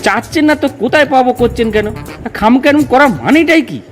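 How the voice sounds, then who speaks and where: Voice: sits high, fast talker, soft background music, natural voice. A performer in a comic audio drama playing cantankerous, boastful village men who quibble pedantically and argue emphatically. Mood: indignant, scolding. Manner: argumentative, emphatic, rambling lists.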